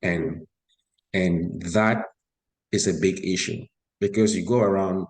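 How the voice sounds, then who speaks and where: A man's voice: sits low, fairly even intonation, takes it slowly, a little mellow. A man, a guest parent giving a personal account in conversation. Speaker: guest parent on a talk show.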